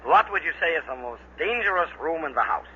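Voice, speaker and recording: A man's voice: on the high side, sing-song, brisk, bright, forceful tone. A man working as an interviewer on a vintage radio program. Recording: dull hissy old radio recording.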